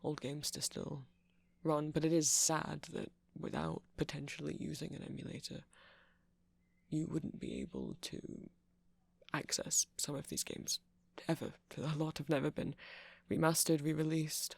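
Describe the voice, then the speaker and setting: A man playing a nerdy vampire in an ASMR roleplay, rambling through explanations in a hesitant, meandering way with a creaky voice. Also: a little high, lively intonation, measured pace, dry recording, exaggerated voice. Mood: earnest, nerdy. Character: nerdy male vampire, rambling tech enthusiast, gentle boyfriend. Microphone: close mic, dry.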